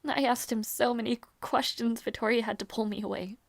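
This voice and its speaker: A woman playing a gentle, earnest young princess in a romantic fantasy audio roleplay, speaking softly and hesitantly with tender affection. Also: on the high side, fairly even intonation, no echo. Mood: earnest, reassuring. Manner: soft, hesitant, tender, halting delivery.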